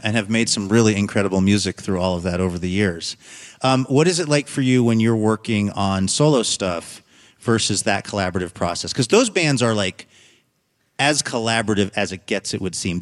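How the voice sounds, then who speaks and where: A man's voice: lowish voice, brisk, dry recording, studio quality. A middle-aged American man, presenting a radio show and interviewing guests as its host. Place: on stage at a theater.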